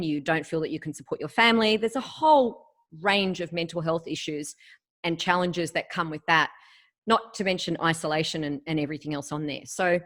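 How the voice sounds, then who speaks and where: A woman, hosting an interview and asking long, emphatic questions. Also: on the low side. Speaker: interviewer, host.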